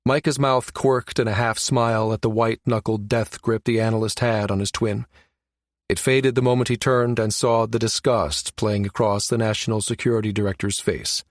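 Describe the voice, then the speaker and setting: A man's voice: lowish voice, fairly even intonation, moderate pace, dry recording, clean studio sound. A man reading scripted science fiction narration for an audiobook.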